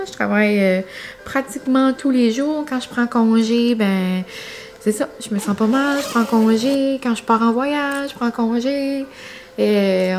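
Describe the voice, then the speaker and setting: A young woman with a northern New Brunswick Acadian French accent talks in a fairly high voice. She speaks casually to her vlog viewers, rambling along in colloquial regional speech. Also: darkish tone, slight background noise. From New Brunswick, Canada. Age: young adult. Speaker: vlogger.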